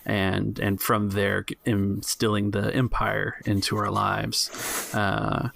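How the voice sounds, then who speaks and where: A man narrating a tabletop game as game master, improvising scenes in a halting way. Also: fairly low pitch, completely flat delivery, creaky, a bit bright, slight background noise.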